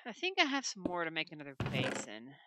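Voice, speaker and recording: A woman's voice: deep voice, huge pitch swings, quick pace, very noisy. A woman casually commenting on her own gameplay at home, rambling and talking to herself as she plays. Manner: rambling, talks to herself while playing. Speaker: gaming channel host. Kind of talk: casual let's-play commentary. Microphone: desk microphone.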